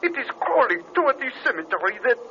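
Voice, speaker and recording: An actor playing an excitable, deferential French airman with a French accent in an old radio horror drama. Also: extremely high pitch, full character voice. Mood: horrified. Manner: agitated, halting, polite. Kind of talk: 1940s radio horror acting. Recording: noisy, muffled old radio broadcast.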